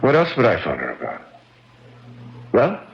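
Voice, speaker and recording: An actor playing a defensive, self-justifying brother in a radio mystery, blustering and dramatic. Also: male voice, mid-range voice, wildly swooping pitch, moderate pace, vocal fry, a little hiss or hum. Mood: indignant. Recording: dull lo-fi radio.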